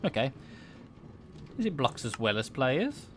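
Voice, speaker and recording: A man's voice: medium pitch, very animated, measured pace, background noise. A young adult man giving casual, meandering gameplay commentary, often hesitating and interrupting himself. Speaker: gaming video host.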